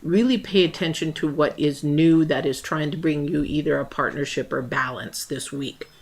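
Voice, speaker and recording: A woman from the United States giving a casual tarot reading, rambling with many fillers and restarts, her voice a little worn and going hoarse. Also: low-pitched, moderate pace. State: losing her voice. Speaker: tarot reader. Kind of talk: casual spiritual reading to camera.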